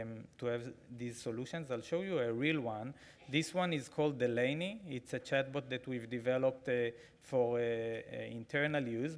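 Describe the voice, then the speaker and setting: A man in his forties with an Israeli accent, giving a keynote talk with a live demo from a podium microphone, halting with frequent fillers and restarts. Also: medium pitch. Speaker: conference keynote speaker. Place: large conference hall, at a podium.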